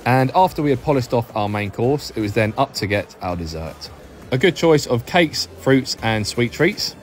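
A man's voice: mid-range voice, expressive, normal speed, slight background noise. A man hosting a review vlog in a scripted voice-over, speaking phrase by phrase with falling endings in an upbeat manner. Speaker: hospitality review host. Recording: music behind the voice. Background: background music.

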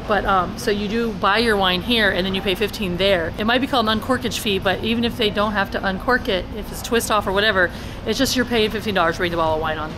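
A middle-aged woman chatting casually to viewers as a travel vlogger, in an excitable, rambling way. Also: mid-range voice, fast. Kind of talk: casual vlog chat to viewers. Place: supermarket, parking lot, shuttle bus. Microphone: handheld camera at arm's length. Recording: noisy action camera on location.

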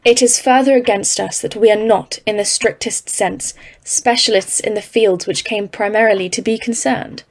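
An earnest expedition scientist, played by a woman, speaks with a creaky edge. Her delivery is measured and literary, like a formal account read out in an audio drama. Her pitch sits in the middle for a woman. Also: steady tone, lightly acted. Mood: grave, reflective. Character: Evelyn, earnest woman geologist leading polar expedition. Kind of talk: audio drama narration and dialogue.

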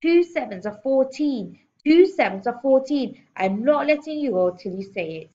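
A woman's voice: medium pitch, animated, fairly quick, dull audio, a little hiss or hum, natural voice. A woman voicing a bullying giant headmistress in a storybook, barking and snorting her insults with sarcastic scorn. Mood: furious, bellowing. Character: giant, bullying, sarcastic middle-aged female school headmistress. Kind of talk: audiobook storybook character voice.

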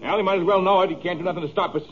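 An American actor playing a belligerent, hot-headed ranch hand in a radio western, with blunt, goading, rustic speech. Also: male voice, sits high, fairly even intonation, rapid-fire, highs cut off, close to an everyday voice. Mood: impatient, defiant. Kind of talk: 1950s radio western acting.

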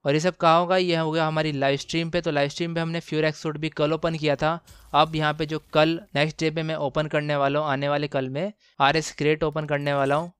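A man giving casual, excited gameplay commentary, slangy and exclamatory, repeating phrases for emphasis. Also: on the high side, a little fast, clean tone, dry recording. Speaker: gaming channel host.

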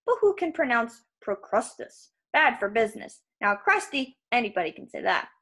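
Storybook villain: giant male salesman, jovial, fussy, menacing, very lively pitch , female performer. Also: moderate pace, full character voice. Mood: jovial menace. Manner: folksy sales patter, casually threatening.

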